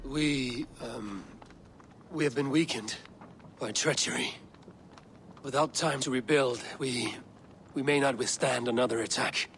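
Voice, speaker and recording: An actor playing a proud, battle-worn Norse god in a video game, guarded and grave, sometimes halting. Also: male voice, medium pitch, fairly bright tone, a little hiss or hum. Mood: drugged, halting. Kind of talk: dramatic game cutscene acting.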